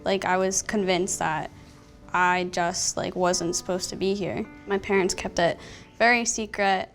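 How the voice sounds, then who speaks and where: Woman, 20s, from Pennsylvania, reflective testimony, gravelly fry, light music bed. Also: medium pitch, fairly even intonation, measured pace. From Pennsylvania, USA. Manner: reflective, open. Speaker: interviewee. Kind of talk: personal testimony.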